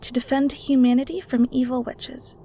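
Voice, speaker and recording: A woman's voice: sits high, level tone, moderate pace, vocal fry, dark tone, slight background noise. A woman playing a meek, nervous young adult daughter in an audio roleplay, hesitant, obedient and defensive. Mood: dutiful reciting.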